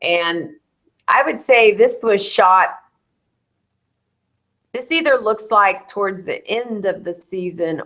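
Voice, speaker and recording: A woman, hoarse and losing her voice, hosting a casual bloom-status chat with a friend. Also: medium pitch, lively intonation, fairly bright tone. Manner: chatty, quick to laugh. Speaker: host of a bluebonnet bloom update. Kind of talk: casual live chat with a co-host.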